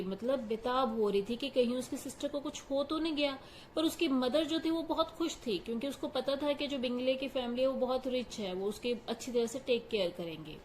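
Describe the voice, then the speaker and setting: A woman's voice: high voice, a little hiss or hum. A woman teacher patiently explaining a story line by line, glossing each phrase in simple words. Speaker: English teacher.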